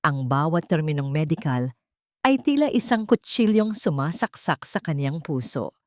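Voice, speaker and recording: Low-voiced woman narrating drama, close and dry. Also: sing-song, normal speed, darkish tone, dry recording. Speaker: storyteller narrator. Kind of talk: scripted dramatic story narration.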